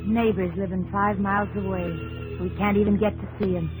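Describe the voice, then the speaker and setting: A woman's voice: medium pitch, little pitch movement, a little fast, music in the background, lightly acted, low-quality recording. An American woman playing a lonely, emotional pioneer farmer's wife with a plaintive manner, in a 1950s radio drama. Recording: old 1950s radio broadcast recording.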